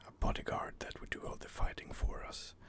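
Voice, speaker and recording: A man hosting a whispered ASMR let's play, talking hesitantly with many fillers and restarts. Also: a little fast. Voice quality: whispered, gravelly. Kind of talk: whispered ASMR gameplay commentary.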